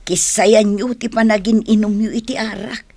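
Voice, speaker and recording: A woman's voice: mid-range voice, steady tone, moderate pace, darkish tone, lightly acted. An actress with an Ilocano accent playing a meddling but well-meaning older woman who scolds young drinkers in a radio drama. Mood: chiding.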